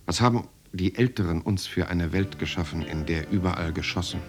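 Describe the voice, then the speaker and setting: An actor playing a reflective man narrating his restless youth in a radio drama, with emphatic, measured phrasing. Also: sits low. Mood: weary, troubled. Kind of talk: dramatic first-person narration, German radio play.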